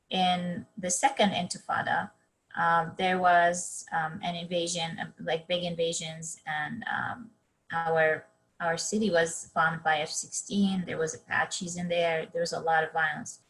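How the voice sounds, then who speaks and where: Earnest woman from Palestine, lowish voice. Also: level tone, measured pace, a bit bright, rough recording. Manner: earnest, with frequent um and restarts. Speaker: keynote speaker. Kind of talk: personal storytelling talk on a webinar.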